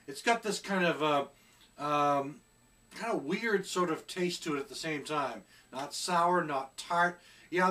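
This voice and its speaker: A middle-aged man reviewing a beer at home in a casual, chatty way, often pausing on fillers as he searches for words. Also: on the high side, a bit bright, far from the mic. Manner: chatty, hesitant with many fillers. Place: small room at home, seated indoors.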